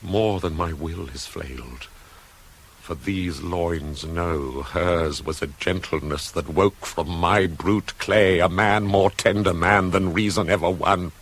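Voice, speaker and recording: Slow, grief-stricken tragic king. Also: low voice, slight background noise, low-quality recording. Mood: hushed grief. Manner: declamatory, slow, heavily dramatic. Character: grief-stricken ancient Greek king, proud, widowed, raging. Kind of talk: 1980s radio play, classical tragic verse acting.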